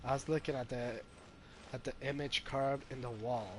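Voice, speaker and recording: A man's voice: mid-range voice, fairly even intonation, normal speed. A man giving casual live gameplay commentary, hesitant and thinking aloud as he goes. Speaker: game streamer. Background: game music.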